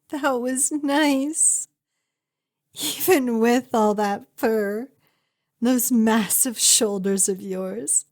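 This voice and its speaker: A woman's voice: fairly high pitch, darkish tone. A woman playing a devoted young huntress in an intimate, emotional fantasy ASMR roleplay, speaking straight to the listener. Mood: tender, amused. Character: fierce but tender young huntress reunited with her lost friend.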